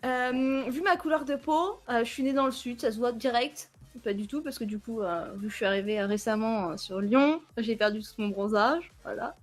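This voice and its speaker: Femme jeune, invitée, ton autodérisoire, voix aiguë. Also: female voice, on the high side, moderate pace, hardly any pauses. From southern France, near Aix-en-Provence. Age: young adult. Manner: playful, self-mocking, laughs often. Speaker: guest, esports team member. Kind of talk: casual interview chat.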